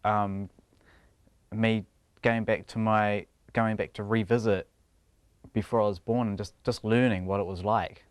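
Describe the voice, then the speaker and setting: Young New Zealand man, low smooth voice. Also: flat delivery, a little slow, no echo. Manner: chatty, laughs often, restarts phrases. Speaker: interviewee. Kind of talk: casual TV interview.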